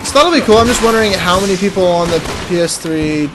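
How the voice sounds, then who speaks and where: A man's voice: sits high, quick pace, background noise. A man co-hosting a gaming podcast, giving news in a loose, informal way and commenting on the gameplay as it goes. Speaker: podcast co-host. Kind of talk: casual gaming news chat. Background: game music.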